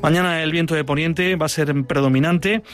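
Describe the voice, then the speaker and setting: A man giving a steady, informative weather report on the radio. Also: on the high side, a little fast. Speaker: weather presenter.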